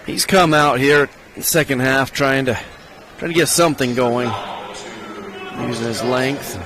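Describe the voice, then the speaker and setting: A man's voice: medium pitch, a little slow. Man breaking down basketball plays as color analyst. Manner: coach-like breakdown of plays and spacing. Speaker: color commentator. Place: gym at a high school basketball game. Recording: game broadcast with gym noise. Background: crowd and court noise of the game.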